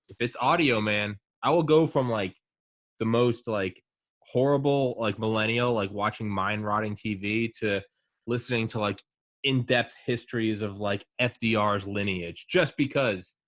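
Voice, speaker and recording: A man's voice: fairly low pitch, measured pace, a bit bright. A man in his thirties hosting a podcast, talking casually and enthusiastically in a rambling way, full of "like" and "man". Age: 30s. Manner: rambling and enthusiastic, many likes and mans. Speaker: podcast host.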